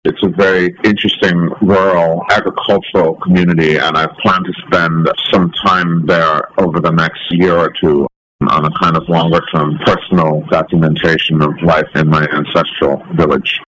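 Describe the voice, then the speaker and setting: A man from the United States giving thoughtful, measured and articulate answers as an interview guest. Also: low voice, little pitch movement, fairly bright tone, dry recording, rough recording. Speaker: interview guest, documentary photographer.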